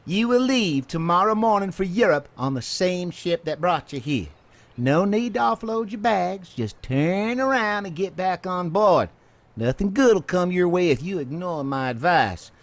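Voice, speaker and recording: A man's voice: high-pitched, expressive, unhurried. An actor playing a smug, bullying Southern lawman in a video game cutscene, taunting and mock-polite. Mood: threatening. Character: menacing, smug Southern American lawman, male, middle-aged.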